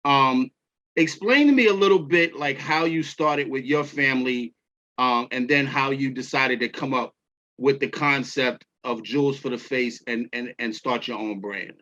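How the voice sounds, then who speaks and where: A man's voice: medium pitch, sharp tone. A man from Queens with a New York accent, hosting a casual interview and agreeing often. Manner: easygoing, quick agreeing backchannels. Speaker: podcast host. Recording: dull lo-fi sound.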